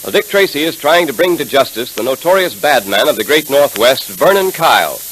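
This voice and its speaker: A man's voice: fairly high pitch, fairly bright tone, poor audio. A man announcing in scripted 1930s radio style with emphatic, enthusiastic salesmanship. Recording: hissy vintage 1930s radio transcription.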